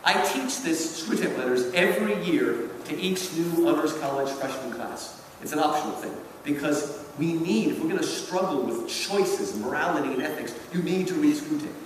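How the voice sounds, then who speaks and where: High-pitched animated man lecturing, very echoey hall. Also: moderate pace, few pauses. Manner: emphatic, theatrical storytelling. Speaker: lecturer and college teacher. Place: large indoor hall at a public event. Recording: very echoey hall from far off, faint noise.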